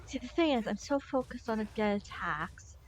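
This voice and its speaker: A woman's voice: a little high, expressive, normal speed, rough recording. A woman game streamer giving casual live commentary as she plays. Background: game music.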